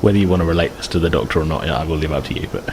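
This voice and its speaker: A man's voice: extremely low pitch, animated, very fast, creaky, a little hiss or hum. A man improvising casual narration as game master, explaining things in a rambling, hedging way.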